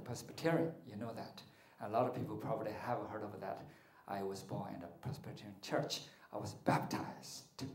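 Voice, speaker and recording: A middle-aged man gives a playful spiritual talk on a stage, quizzing the crowd and joking as he goes. His voice sits at an ordinary pitch and rings through a big, echoey hall from a handheld mic. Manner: animated, joking, asks the audience questions. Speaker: martial arts and meditation teacher. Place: large hall with curtained stage.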